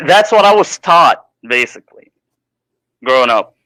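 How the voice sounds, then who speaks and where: A man from the United States calling into a debate, talking casually in a halting, defensive way. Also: a little high, lively intonation, bright, forceful tone, no echo, poor audio. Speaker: caller.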